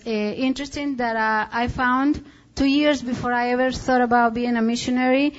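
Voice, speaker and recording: A woman's voice: a little high, dull audio. A woman from Colombia with a Colombian Spanish accent, giving a missionary report talk and often stopping to search for English words.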